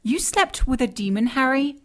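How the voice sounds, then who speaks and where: A woman's voice: high-pitched, lively intonation, moderate pace, fairly bright tone. A woman playing an earnest, spirited sidekick in an audio drama, questioning and easily flustered or indignant.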